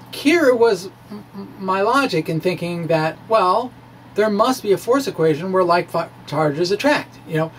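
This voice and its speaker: Middle-aged man, noisy home recording. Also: high voice. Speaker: science video blogger. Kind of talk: informal physics explanation to camera. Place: small indoor room with bookshelves. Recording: clear steady background noise.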